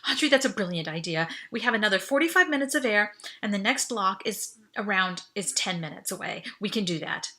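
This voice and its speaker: Woman reading as an earnest, bright-voiced teenage boy. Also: medium pitch, lively intonation, brisk, few pauses. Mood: encouraging. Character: worried, protective teenage boy hiding his fear. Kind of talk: audiobook character voice.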